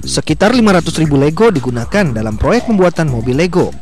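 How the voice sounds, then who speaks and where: A man's voice: fairly high pitch, sing-song, fast, a little mellow. A man reading a scripted news voice-over as a reporter. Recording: music bed under voice.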